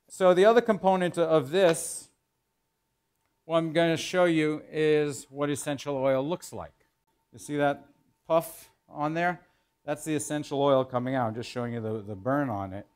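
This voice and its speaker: Chef explaining as he cooks. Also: medium pitch. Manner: relaxed, explanatory, thinking aloud while working. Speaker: chef instructor. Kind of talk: cooking demonstration. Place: large professional teaching kitchen, indoors. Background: food sizzling in a pan.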